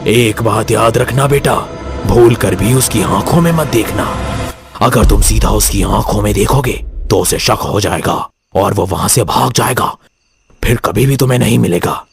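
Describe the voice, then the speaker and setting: Male narrator as tantric holy man, grave, all-knowing, low voice, solemn warnings, horror story. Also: normal speed, creaky, soft background music. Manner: solemn, instructive.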